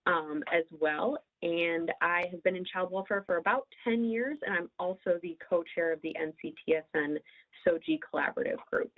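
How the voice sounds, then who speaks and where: A woman's voice: lowish voice, normal speed. A woman from the US Midwest who works in child welfare, introducing herself in a webinar. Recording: tinny phone-like line.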